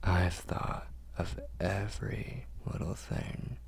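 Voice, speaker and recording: A man playing a protective, tender vampire lord in an intimate ASMR roleplay, whispering in a breathy, husky, reassuring way. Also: sits low, fairly even intonation, slight background noise, full character voice. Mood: reassuring, coaxing. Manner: slow, hushed, reassuring. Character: protective, tender male vampire lord soothing his human.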